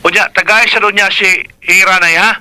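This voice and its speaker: A lovesick son pleading earnestly in a radio drama, with a Filipino Cebuano accent. His voice is high and very sharp, pressing insistently at his mother. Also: fairly even intonation, a little fast, clear, resonant tone, low-quality recording. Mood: eager.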